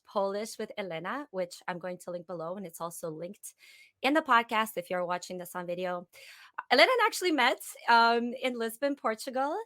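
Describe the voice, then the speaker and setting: A woman's voice: medium pitch, fairly bright tone, no echo. A woman hosting a podcast, asking her guest questions in a friendly, upbeat way. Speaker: podcast host. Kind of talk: podcast interview.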